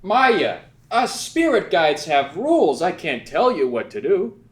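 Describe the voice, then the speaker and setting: A man voicing a lazy, rude male aardvark spirit guide at a table read, loud and drawling. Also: a little high, sing-song, unhurried, roomy sound. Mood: smug.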